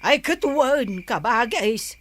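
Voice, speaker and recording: A high, expressive village woman in a Filipino radio drama, coaxing and nagging in an Ilocano accent. She stretches her exclamations and takes her time. Faint noise and chirping insects sit behind her. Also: takes it slowly. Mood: coaxing, scolding.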